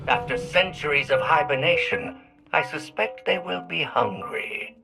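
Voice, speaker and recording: Higher-voiced, formal video game guide. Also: male voice, lively intonation, vocal fry, over loud music, exaggerated voice, rough recording. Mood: cautioning. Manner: formal, precise, measured. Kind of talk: video game cutscene acting.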